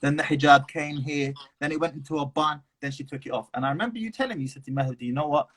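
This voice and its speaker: A man hosting a live stream, talkative and quick, filling pauses with murmured agreement. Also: fairly high pitch, fairly bright tone. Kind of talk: casual livestream chat.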